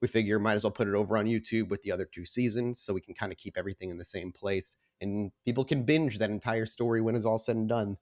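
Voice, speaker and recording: A man's voice: lowish voice, quick pace, few pauses, darkish tone. A man speaking as the host and storyteller of a tabletop roleplay podcast. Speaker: host and game storyteller of an actual play podcast. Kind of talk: casual announcements, then slow scene-setting narration.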